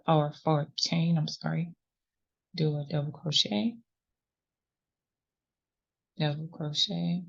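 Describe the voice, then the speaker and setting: Crochet teacher, woman, deep and slow, counts stitches aloud, slightly sniffly. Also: creaky, lo-fi sound. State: sniffly runny nose. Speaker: crochet tutorial host. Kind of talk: step-by-step crafting instruction.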